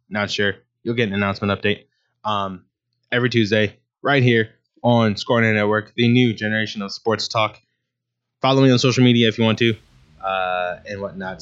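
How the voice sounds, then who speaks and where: A man's voice: lowish voice, a bit bright, smooth. A man hosting his own sports talk show, rambling casually with many ums and restarts. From Ohio, USA. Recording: dull lo-fi sound.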